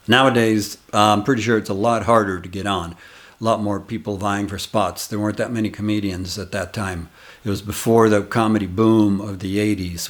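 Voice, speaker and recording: A man in his sixties, a comedian hosting his channel and casually telling a rambling, reminiscing story of his early days. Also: fairly low pitch. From United States. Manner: rambling, reminiscing, unhurried anecdotes.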